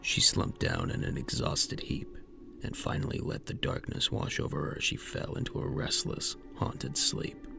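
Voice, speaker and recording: A man narrating a scripted science fiction audio drama in a measured storytelling manner. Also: mid-range voice, sing-song, creaky, music in the background.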